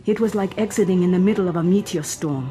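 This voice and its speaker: A woman's voice: fairly low pitch, fast, mellow, soft background music, lightly acted. A woman playing a composed female shipboard artificial intelligence in a sci-fi drama, dry, precise and clinical. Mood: urgent. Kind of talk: science fiction audio drama acting.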